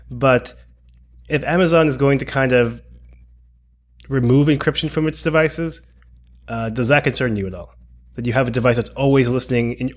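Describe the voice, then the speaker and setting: A man co-hosting a tech podcast, chatting casually in a hesitant, rambling way that hedges as he goes. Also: medium pitch. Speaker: podcast co-host.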